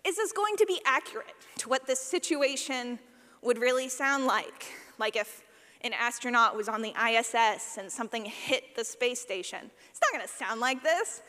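A woman giving a live workshop talk as a sound designer, animated, playful and informal, acting out examples as she goes. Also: on the high side, normal speed. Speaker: session presenter, podcast sound designer. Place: large indoor session room. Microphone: presentation microphone. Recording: echoey hall, live presentation.

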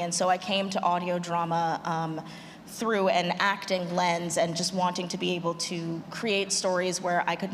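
A woman speaking as a panelist, an audio drama creator and actor talking to an audience in considered, measured phrases with pauses. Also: on the low side, a bit bright. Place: large room at a convention panel. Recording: echoey hall, clear background noise.

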